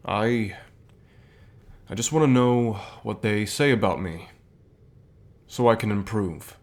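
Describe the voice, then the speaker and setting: A man playing a calm, reassuring company boss with gentle authority, speaking softly in measured phrases for an ASMR roleplay. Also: on the low side. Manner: measured, soft-spoken, deliberate pauses. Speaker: voice actor in an audio roleplay.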